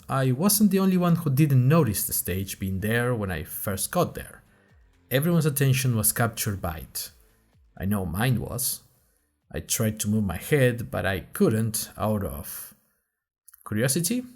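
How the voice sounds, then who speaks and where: A man's voice: on the low side, very animated, moderate pace, darkish tone, faint music behind. Man narrating fiction with wry asides. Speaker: podcast narrator. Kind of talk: scripted first-person fiction narration.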